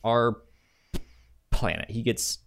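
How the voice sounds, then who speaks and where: A man's voice: mid-range voice, steady tone, takes it slowly, a bit bright. Casual male podcaster with a stammer. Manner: stammering, repeats words. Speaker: podcast co-host.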